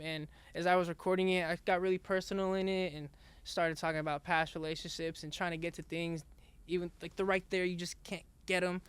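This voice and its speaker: A young rap artist talks quietly and haltingly in an interview, often restarting his sentences. His voice sits high and comes through smooth and clear. The sound is dry and close, with no room echo. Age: young adult. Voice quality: raspy singing voice. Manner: soft-spoken, halting, many restarts. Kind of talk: casual interview chat.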